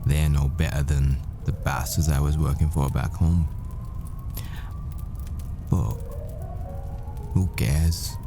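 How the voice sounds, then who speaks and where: A man playing a tender, desperate boyfriend, a secretive professor, in an intimate, halting, wistful audio roleplay monologue. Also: very deep voice, steady tone, full character voice. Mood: weary, wistful. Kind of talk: intimate ASMR-style dramatic roleplay monologue. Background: music.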